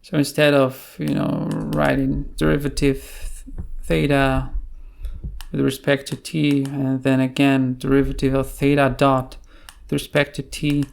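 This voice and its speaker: Halting, flat-toned tutorial instructor with creaky voice. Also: mid-range voice. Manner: halting, pausing mid-phrase. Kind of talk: unscripted screencast tutorial explanation.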